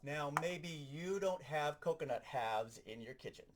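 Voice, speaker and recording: A man giving an animated, explanatory, hands-on lesson as a sound effects instructor. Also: a little high, measured pace, very noisy.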